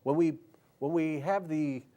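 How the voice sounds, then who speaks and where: A man's voice: on the high side, measured pace, warm tone. A man hosting a casual talk show, rambling and cutting himself off mid-sentence. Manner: rambling, self-interrupting.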